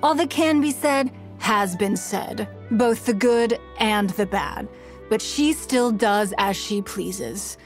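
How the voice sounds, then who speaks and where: A woman plays a rich, calculating noblewoman from a fantasy video game. She speaks slowly at a middling pitch, with formal, haughty poise and deliberate phrasing. Music plays behind her voice. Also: lightly acted. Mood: cool, calculating. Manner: measured, formal, haughty, unhurried. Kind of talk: video game character voice lines.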